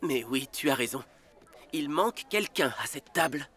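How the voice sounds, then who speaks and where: An actor playing a brave, kind father bear in an animated film, gentle, reassuring and expressive. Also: a little high, normal speed, some chatter behind. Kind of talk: dubbed animated film acting.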